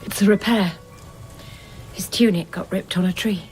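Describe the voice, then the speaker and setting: A woman's voice: medium pitch, moderate pace, darkish tone, faint music behind, close to an everyday voice. An actress playing a dutiful, guarded wife who earnestly believes in her society, in an audio drama. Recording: clear background noise.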